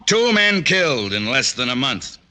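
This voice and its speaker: Pushy self-made factory boss, very hard-edged, forceful mid-range voice, blustering old radio tough talk. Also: male voice, mid-range voice, big pitch swings, normal speed. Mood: aggrieved, forceful. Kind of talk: mid-century radio drama acting.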